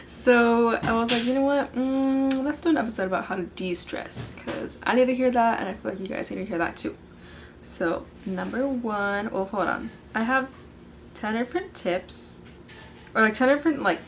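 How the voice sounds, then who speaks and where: A young woman in her late teens hosting a casual podcast, hoarse from yelling, chatting in a bubbly way and filling nearly every sentence with "like". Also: a little high, slight background noise. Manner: bubbly, filler-heavy, says "like" constantly. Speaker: podcast host. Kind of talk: casual chat.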